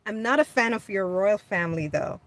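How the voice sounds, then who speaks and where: A woman's voice: mid-range voice, expressive, fairly quick. A woman born in Jamaica, chatting casually on a livestream, answering viewer comments and laughing often. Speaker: livestream host. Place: home in California.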